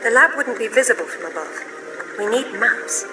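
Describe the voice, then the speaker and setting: A woman's voice: on the high side, expressive, normal speed, close to an everyday voice. An actress with a British accent playing a bold young adventurer woman in a video game, dry and self-assured. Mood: confident. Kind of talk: video game cutscene acting. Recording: echoey game audio with loud music.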